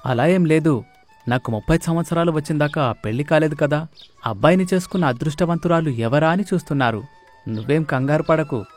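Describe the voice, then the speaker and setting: Man playing a high-pitched, placating young husband. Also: a little fast, darkish tone, faint music behind, lightly acted. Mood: reassuring. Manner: reassuring, explanatory. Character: thirty-year-old husband, placating, cautious son. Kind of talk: animated moral story dialogue.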